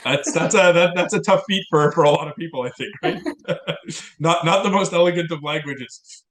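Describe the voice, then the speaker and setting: A man's voice: fairly high pitch, moderate pace, a bit bright, roomy sound. A man whose first language is Italian, co-hosting a podcast in casual chat over wine, rambling with many repeated words and restarts. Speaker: podcast co-host.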